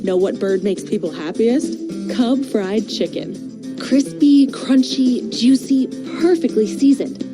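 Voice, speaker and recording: A woman's voice: on the high side, animated, slow, music in the background. A woman doing an upbeat scripted commercial voice-over. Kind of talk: scripted upbeat grocery ad read.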